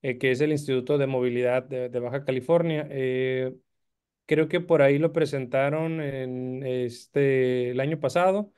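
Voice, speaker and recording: A man speaks in a meeting over a video call. His voice is smooth and clear, and he halts often on este and eh as he feels for words. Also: mid-range voice, steady tone, a little mellow. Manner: halting, fills pauses with este and eh. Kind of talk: meeting remarks.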